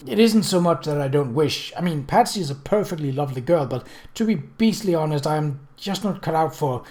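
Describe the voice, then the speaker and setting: A reader voicing an earnest, awkward, very young upper-class gentleman, stammering and apologetic. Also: medium pitch, expressive, fairly quick, pitched above the performer's own voice, natural voice. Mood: flustered, self-accusing.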